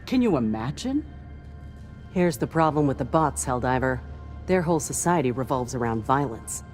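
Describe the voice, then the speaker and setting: A low-pitched starship crew chief speaks as a video game character over faint music. She is a devout patriot, earnest, dutiful and reverent about the cause. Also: sing-song, moderate pace, a little mellow. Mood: resolute, patriotic.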